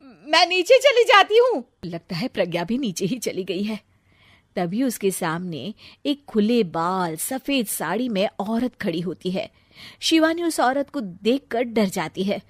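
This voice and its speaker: Female storyteller: high, expressive, scripted narration, dry studio-like sound. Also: a little slow, slightly rough, no room sound.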